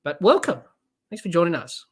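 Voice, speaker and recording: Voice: mid-range voice, sing-song, normal speed, slightly rough. A person in their twenties with an Australian accent, hosting a casual live cricket podcast, chatting loosely and reading out viewer comments between balls. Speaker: podcast host.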